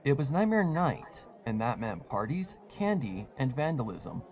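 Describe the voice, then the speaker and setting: A man's voice: medium pitch, sing-song, unhurried, darkish tone. A man reading a fan fiction story aloud as a dramatized scripted narration. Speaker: story reader.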